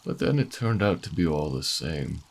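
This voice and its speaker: Extremely deep, gravelly older revolutionary. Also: male voice, sing-song, full character voice. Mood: bitter, anguished. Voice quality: very gravelly, husky. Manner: dramatic, brooding, halting monologue. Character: bitter older human revolutionary fugitive, defiant and theatrical. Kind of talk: audio roleplay drama acting.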